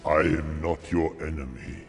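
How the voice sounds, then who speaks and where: An actor playing an ancient, solemn dragon ally in a fantasy game, speaking in a grave, measured, patronizing way. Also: male voice, a bass voice, fairly even intonation, breathy edge, faint music behind, exaggerated voice. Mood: grave, calm. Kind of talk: fantasy video game voice acting. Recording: long hall-like reverb.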